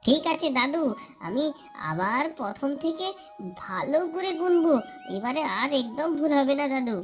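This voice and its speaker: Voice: high voice, expressive, faint music behind. A performer voicing a young grandchild in a cartoon, eager, whiny and childishly pleading. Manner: slow, drawn-out childish pleading. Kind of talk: cartoon voice acting.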